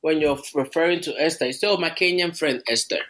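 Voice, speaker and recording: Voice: medium pitch, quick pace, a bit bright, low-quality recording. A co-host chatting casually and asking the guest follow-up questions. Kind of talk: casual interview chat.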